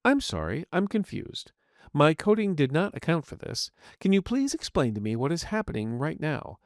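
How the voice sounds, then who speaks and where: A man's voice: on the high side, sing-song, normal speed, no echo, clean studio sound. A man voicing a polite, earnest artificial intelligence medical assistant in an audio story, speaking formally and courteously. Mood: puzzled, polite. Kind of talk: scripted audiobook narration in character.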